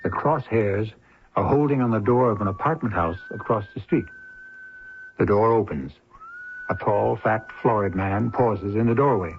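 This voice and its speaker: A man narrating a crime story for a radio drama, deliberate and suspenseful in his delivery. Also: fairly low pitch, wildly swooping pitch, gravelly, exaggerated voice. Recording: muffled old radio broadcast, faint noise.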